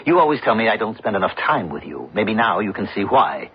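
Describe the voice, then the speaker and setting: A man playing an impatient, cold-blooded, domineering middle-aged businessman husband in a radio drama, with a rough, husky voice. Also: on the low side, sing-song, a little fast, slight background noise. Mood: scornful, impatient. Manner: domineering, dismissive, forceful phrasing. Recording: dull lo-fi radio broadcast recording.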